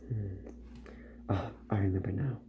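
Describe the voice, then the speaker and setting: A man playing a calm, attentive robot repair doctor in a soft-spoken ASMR roleplay, hushed and unhurried, often slipping into a whisper. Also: on the low side, lightly acted. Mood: musing, pondering. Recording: dull sound, loud background noise.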